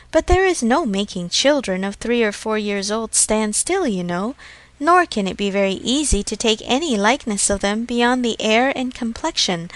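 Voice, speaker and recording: A woman's voice: medium pitch, fairly bright tone, no room sound, lightly acted. A woman voicing a genteel, chatty, self-satisfied young lady of Regency society in a storybook reading.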